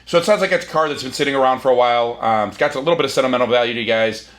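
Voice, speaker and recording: A quick-talking middle-aged man, working a car deal on the phone. He is brisk and upbeat, a straight-shooting salesman, and his pitch sits right in the middle. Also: a bit bright. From Chicago area, USA. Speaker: car buyer. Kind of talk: phone negotiation.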